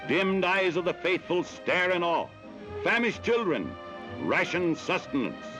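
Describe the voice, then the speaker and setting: Dramatic high-pitched 1940s American newsreel man. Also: very animated, unhurried. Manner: dramatic, declamatory delivery. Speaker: newsreel narrator. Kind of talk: 1940s newsreel scripted narration. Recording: dull old mono newsreel film soundtrack. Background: loud orchestral music.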